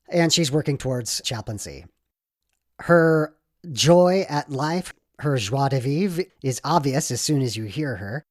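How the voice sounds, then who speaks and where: Male podcast host, medium pitch, encouraging interviewer. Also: dry recording, clean studio sound. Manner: warm, encouraging interviewer with short backchannels.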